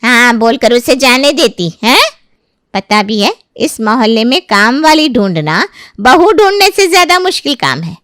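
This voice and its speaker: A coaxing, practical mother-in-law, played by a woman in a moral story. Her voice is clear and smooth, fairly high, with lively rises and falls as she persuades. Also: unhurried, no room sound, clean studio sound. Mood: exasperated. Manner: soothing, persuasive. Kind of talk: dramatised Hindi moral story.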